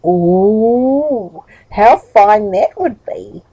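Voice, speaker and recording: A woman's voice: mid-range voice, expressive, very slow, dark tone, slightly rough, full character voice, rough recording. A woman voicing a silly, fussy little man who is easily cross and demanding. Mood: delighted.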